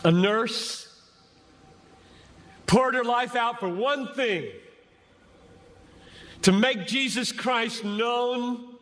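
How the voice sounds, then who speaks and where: A man preaching an impassioned sermon to a crowd as a pastor, speaking emphatically and deliberately with dramatic pauses between short phrases. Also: high voice, a bit bright. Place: large gathering before a crowd. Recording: echoey large venue, long reverb.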